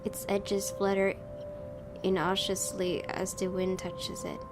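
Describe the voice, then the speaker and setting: A woman doing a casual let's play, reading a visual novel aloud and commenting in an expressive way. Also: medium pitch, slow pace. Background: game music with guitar.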